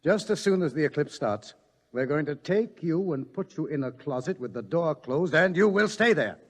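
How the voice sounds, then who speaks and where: A sardonic, world-weary scientist in an old-style radio drama. His voice is on the high side and moves widely in pitch, wry and theatrical. A long reverb hangs around it. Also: animated, moderate pace. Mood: urgent, firm. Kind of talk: mid-century radio drama acting.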